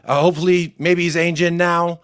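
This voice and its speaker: Sarcastic man, fairly high voice. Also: flat delivery, fairly quick, a bit bright, clean tone. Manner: sarcastic, mocking. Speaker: fan pundit. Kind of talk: casual podcast chat.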